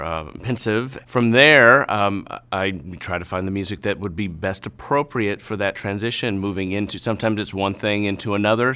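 A man speaking in a reflective interview as a music arranger, thoughtful and halting. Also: fairly low pitch, bright, forceful tone.

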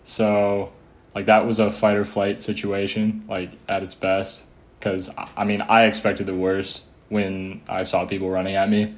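A young man recalling a personal experience casually, in a halting, understated way. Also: on the low side, completely flat delivery. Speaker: interviewee in an audio documentary.

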